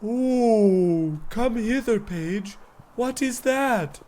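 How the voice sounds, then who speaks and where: A man acting a self-important, dim king in a radio comedy. He speaks extremely slowly and pompously, pondering as he goes, with faint ambience behind him. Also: high voice, lively intonation, dark tone, a little hiss or hum, heavily acted.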